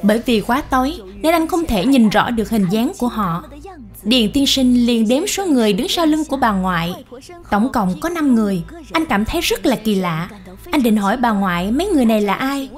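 A woman giving scripted narration of a moral animated story. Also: a little high, moderate pace. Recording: music behind the voice. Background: music.

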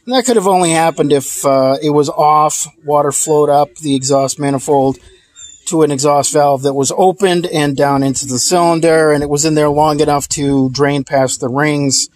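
A man's voice: a little high, steady tone, moderate pace, dry recording. A man casually explaining a car repair outdoors, talking into a handheld phone camera in a rambling, plainspoken way with frequent fillers. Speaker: DIY car repair vlogger. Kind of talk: casual hands-on repair walkthrough. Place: outdoors at a car engine bay.

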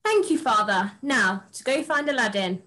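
A young woman playing a haughty, naive pantomime princess in an expressive, slightly stagey way. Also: fairly high pitch, moderate pace. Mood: eager. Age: young adult. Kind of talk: script read-through on video call. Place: room at home, video call.

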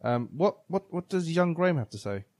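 A man's voice: a little high, very animated, fairly quick, a little mellow, no echo. A man chatting casually as a podcast co-host. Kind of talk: casual chat.

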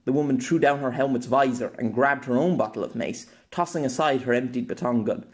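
A man's voice: medium pitch, a little fast. A man reading dramatic scripted narration as an audiobook narrator.

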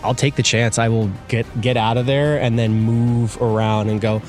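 A man's voice: medium pitch, level tone, moderate pace, darkish tone. A man playing a tabletop roleplaying game, chatting casually as he describes his moves. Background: cinematic music.

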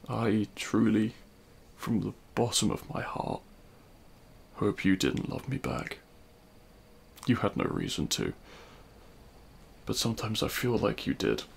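Male actor as grieving villain, low voice, heavy vocal fry, halting, anguished, intimate audio drama. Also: big pitch swings, a little hiss or hum, heavily acted. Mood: sorrowful resignation. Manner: halting, anguished, self-loathing. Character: remorseful male supervillain confessing love at a grave. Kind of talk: intimate audio roleplay monologue.

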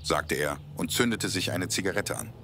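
A man's voice: sits low, normal speed, background noise. A man narrating an audio play in a scripted storytelling style.

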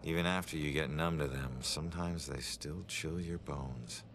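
An actor playing a gruff, haunted hard-boiled detective in a video game, gravelly and terse. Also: male voice, rumbling low, fairly even intonation, moderate pace, background noise. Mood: brooding. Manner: terse, brooding. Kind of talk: noir game voice acting, brooding narration.

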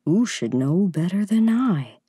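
A woman voicing a grave, knowing male reindeer in a storybook, speaking in a measured, proud way. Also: lowish voice, big pitch swings, warm tone, slightly rough, dry recording, studio quality, heavily acted. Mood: proud, wistful. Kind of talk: storybook character voice.

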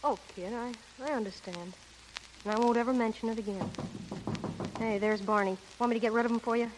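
A woman's voice: fairly high pitch, steady tone, fairly quick, natural voice. An actress playing a brassy, blunt, loyal showgirl pal with slangy wisecracks, in 1930s radio comedy-drama style. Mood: soft, sympathetic. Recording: noisy, hissy 1930s radio broadcast.

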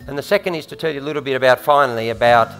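A man's voice: mid-range voice, very fast, fairly bright tone, soft background music, low-quality recording. A middle-aged man with an Australian accent gives a prepared, emphatic speech on a conference stage through a headset mic. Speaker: panelist, former footballer.

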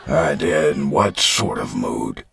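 Very deep, gravelly robot leader, played by a man, formal, slow and earnest. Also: huge pitch swings, fairly bright tone, a little hiss or hum, heavily acted. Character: solemn idealistic robot leader, male. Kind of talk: fan comic dub.

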